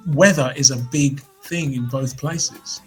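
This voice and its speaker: A man in his fifties talks through his memories in a slightly husky, mid-range voice. He speaks reflectively, pausing and restarting as he goes. Faint music sits underneath the interview. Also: mid-range voice. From United Kingdom. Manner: reflective storyteller, hesitant restarts. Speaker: musician guest. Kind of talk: casual interview chat.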